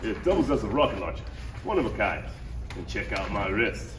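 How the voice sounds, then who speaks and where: An echoing room with faint noise carries a man acting an armored bounty hunter father in a sci-fi parody. His low voice is cool and boastful, a father worn thin by unruly kids, and it moves up and down with lively range. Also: brisk. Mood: easygoing, proud. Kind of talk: live table read of parody script. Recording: echoey room, distant mic, faint noise.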